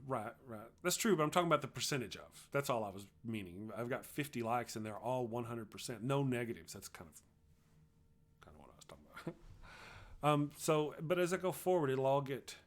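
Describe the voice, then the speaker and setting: A middle-aged man with a Texas accent hosts a casual livestream at an ordinary mid pitch. He rambles along in a loose, chatty way, restarting sentences as he talks to viewers. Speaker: livestream host. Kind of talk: casual livestream chat, reading viewer comments.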